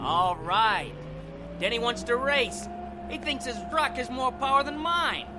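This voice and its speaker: Reckless young driver over loud engine noise. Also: male voice, extremely high pitch, animated, a little slow. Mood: cocky. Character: reckless young male pickup truck driver, cocky then panicked. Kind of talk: old-time radio drama acting.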